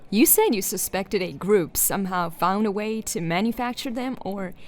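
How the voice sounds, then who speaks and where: A woman acts out a persistent detective in a sci-fi audio drama. Her voice sits at a medium pitch, and she questions directly and skeptically, like an investigator who wants answers. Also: animated, normal speed, lightly acted. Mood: questioning.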